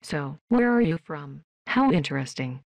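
A woman voicing a polite, emotionless female robot worker in a video game, with flat, stilted, deadpan delivery. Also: low voice, normal speed, creaky, dry recording, highs cut off, heavily acted. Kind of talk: scripted video game voice lines.

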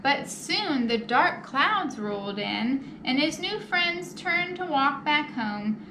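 A woman's voice: sits high, expressive, takes it slowly. A young woman hosting storytime, reading a picture book aloud to children and addressing young listeners with simple questions. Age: young adult. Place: indoor children's library room. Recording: roomy echo, clear background noise.

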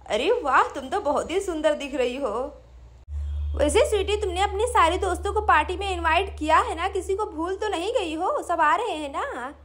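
Woman voicing a high-voiced, doting village mother. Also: normal speed, a bit bright. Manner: encouraging, chatty.

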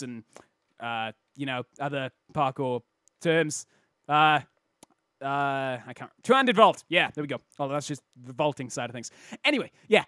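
A man with a slightly croaky voice hosting casual, jokey video game commentary in a self-interrupting way. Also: fairly high pitch, a bit bright, dry recording, studio quality. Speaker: host of a game replay showcase.

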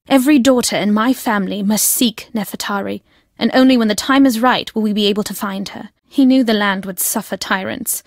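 A woman playing a secretive, fervent guardian of her family's destiny in a video game, speaking solemnly and deliberately. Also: medium pitch, dry recording, studio quality, natural voice. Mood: solemn, resolute.

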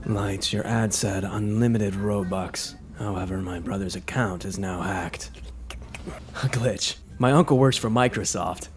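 A man voicing a brooding young male anime detective in an amateur fan dub, with a low, muttering delivery. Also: a little slow, lightly acted. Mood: deadpan. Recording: roomy reverb with loud music behind.

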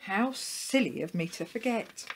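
A woman's voice: medium pitch, animated, unhurried, slight background noise, natural voice. A woman voicing a silly, forgetful male dog in a children's story, cheerful, mischievous and exclamatory. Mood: bright realisation. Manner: exclamatory, polite, chuckling to himself.

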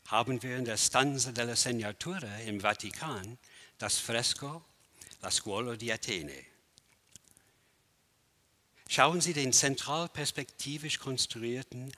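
A man in his sixties, a native English speaker, giving a theatrical lecture performance in a large empty gallery hall, declaiming with dramatic swells and hushed drops. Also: medium pitch.

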